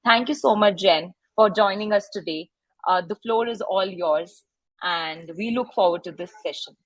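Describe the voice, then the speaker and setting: A woman introducing a guest speaker in a polite, formal way, pausing on fillers as she reads. Her voice is husky, and the sound is dry and close with no room around it. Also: mid-range voice, no echo, low-quality recording. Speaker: session moderator.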